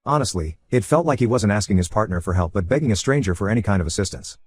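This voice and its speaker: A man reading a first-person online story aloud as scripted narration, in a quick, even storytelling manner. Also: fairly low pitch. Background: faint background music.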